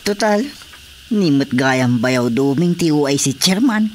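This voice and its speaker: An actor playing an eager, hesitant young brother-in-law in an Ilocano radio comedy drama, with an Ilocano accent. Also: male voice, a little high, lively intonation, a little slow. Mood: anxious to please. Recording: faint background noise with crickets.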